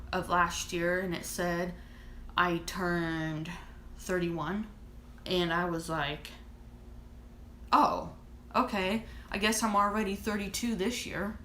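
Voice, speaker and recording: A woman's voice: fairly low pitch, fairly even intonation, slow, a bit bright, reverberant, slight background noise. A woman in her thirties chatting casually to camera as a vlogger, rambling and restarting her thoughts. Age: 30s. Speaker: vlogger and author.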